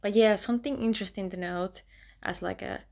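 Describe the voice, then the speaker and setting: Woman with a creaky voice. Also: medium pitch, fairly even intonation, fairly quick. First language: Finnish. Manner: chatty and reflective, drops to soft near-whispers. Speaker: book vlogger. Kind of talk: casual reading vlog.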